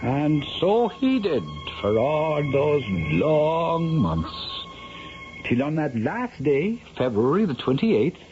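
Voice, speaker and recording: An actor playing a wily old Irish storyteller in a radio drama, with a coaxing, rambling, theatrical brogue. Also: male voice, a little high, very animated, slow pace, over music. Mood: dramatic narrating. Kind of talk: 1970s radio drama acting. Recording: dull, lightly noisy vintage radio recording.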